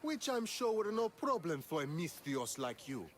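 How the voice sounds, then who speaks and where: Smug, high-voiced military commander. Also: male voice, sing-song, moderate pace, a little hiss or hum. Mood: smug, businesslike. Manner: mocking, commanding. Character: smug, sardonic ancient Greek military commander, gambling creditor. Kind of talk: video game dialogue acting.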